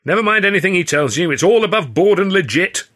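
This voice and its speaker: Pompous, hot-tempered male comedian done by a male narrator, storybook rival, voice pitched well up, sharp. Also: expressive, quick pace. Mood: defiant.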